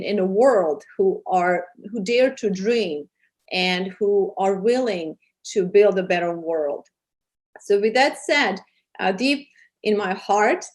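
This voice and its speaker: Serbian-accented woman, earnest interview guest. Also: mid-range voice. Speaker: interview guest, program producer and charity founder. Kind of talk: interview answers.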